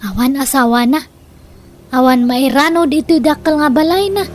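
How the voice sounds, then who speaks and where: Casting: woman as flippant, whiny freeloading daughter, Ilocano accent, high voice, comedy radio drama, noisy recording. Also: moderate pace, lightly acted. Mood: dreamy, scheming. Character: cheeky, carefree adult daughter living off her uncle.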